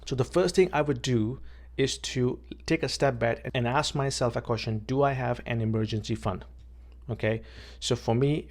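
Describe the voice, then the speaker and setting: A man from Pakistan, a vlogger and realtor, talking plainly to camera outdoors, often repeating words and checking in with "okay". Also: medium pitch. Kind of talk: casual talk to camera.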